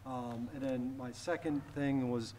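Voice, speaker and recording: A man's voice: mid-range voice, steady tone, unhurried. A man on a school board asking friendly follow-up questions, with stammering restarts. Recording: echoey hall with clear noise.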